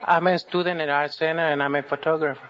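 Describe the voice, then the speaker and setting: A man's voice: fairly high pitch, fairly even intonation, fast talker, fairly bright tone. A young man from Mexico giving brief, casual answers as a radio quiz contestant. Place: radio studio with audience. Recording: dull, noisy vintage radio, audience behind.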